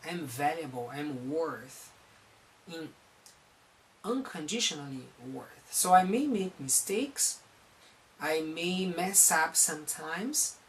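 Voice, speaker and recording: A counselor from Brazil speaking with a Brazilian accent, giving a recorded talk on psychology in an earnest, halting way and often restarting his phrases. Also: on the high side, animated, fairly bright tone, low-quality recording.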